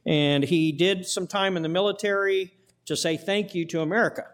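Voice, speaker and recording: A man's voice: sits high, fairly even intonation, moderate pace. A man pastoring a church, giving announcements and praying, folksy, repeating words with many fillers. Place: church sanctuary.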